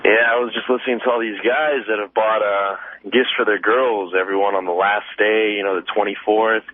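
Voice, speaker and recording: A man's voice: on the low side, steady tone, fairly quick, slight background noise. A young man calling a radio show, telling a rambling, self-deprecating story in casual speech. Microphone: telephone. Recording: narrow dry phone line.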